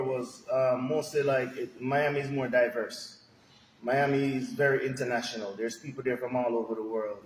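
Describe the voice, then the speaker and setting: A man from Jamaica, answering a podcast interview as a restaurant owner, in a relaxed, rambling way with lots of "you know". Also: medium pitch. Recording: echoey room with long reverb, faint noise.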